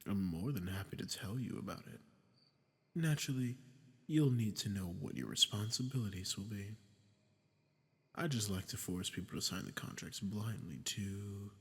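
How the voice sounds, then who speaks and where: Man voicing a gravelly, smug archdemon in cavernous reverb. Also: on the low side. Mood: smug, menacing. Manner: smug, theatrical, mock-polite, menacing. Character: sadistic, smug, ancient male archdemon bargaining for souls. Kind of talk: scripted roleplay audio drama monologue.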